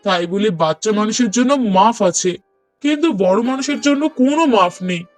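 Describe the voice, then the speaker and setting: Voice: medium pitch, animated, fairly quick, soft background music, natural voice. A performer voicing a brooding, sarcastic husband and father in a moral-story cartoon, with pointed rhetorical questioning. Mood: reproachful.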